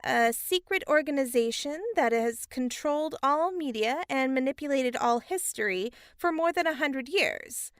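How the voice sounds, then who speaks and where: A woman's voice: high voice, animated, moderate pace, few pauses, dry recording, studio quality. A woman playing a blunt, skeptical newspaper reporter in an audio drama. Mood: skeptical, polite.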